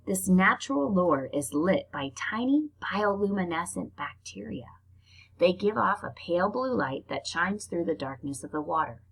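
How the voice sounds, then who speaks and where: A woman reads nonfiction aloud in an expressive, informal way, like reading a book to children. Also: fairly low pitch, normal speed, gravelly, husky, low-quality recording. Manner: expressive, informal reactions between passages. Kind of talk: read-aloud narration with casual spoken asides.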